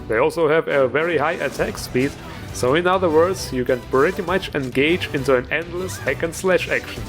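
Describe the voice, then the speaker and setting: Enthusiastic man, higher-pitched, reviewing games. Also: normal speed, over music. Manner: enthusiastic, joking. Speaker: host of a game mod review show. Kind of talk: informal video game commentary. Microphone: voice-over recorded over gameplay music.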